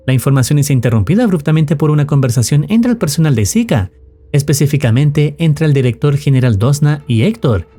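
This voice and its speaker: A man's voice: on the high side, dark tone. A man delivering formal, dramatic scripted narration that recaps an analog horror story.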